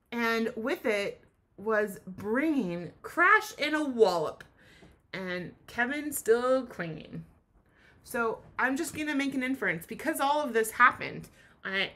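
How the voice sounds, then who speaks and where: Highly animated woman teacher reading aloud. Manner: expressive, asks listeners questions and explains. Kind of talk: animated children's read-aloud lesson.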